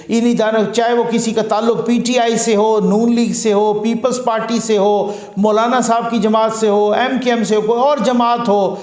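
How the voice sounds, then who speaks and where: High-voiced man giving political commentary. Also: a little mellow, reverberant. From Pakistan. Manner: earnest, rhetorical, repeats phrases in lists. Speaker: news commentator.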